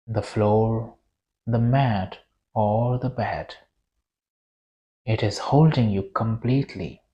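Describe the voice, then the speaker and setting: A meditation guide leading a yoga nidra relaxation in a soothing, deliberate way, with long pauses between short phrases. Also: mid-range voice, dark tone, highs cut off.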